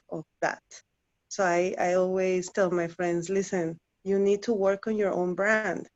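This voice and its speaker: A woman in her thirties from Guatemala, a native Spanish speaker, chatting as an interview guest with many halting restarts. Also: on the low side, a little mellow, dull audio. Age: 30s. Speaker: interview guest, former client. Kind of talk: casual interview chat.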